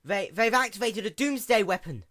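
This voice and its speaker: Dutiful female sea pony aide for storybook, played by a man. High, bright, formal, earnest briefing. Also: animated, moderate pace. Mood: alarmed. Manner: formal, explanatory.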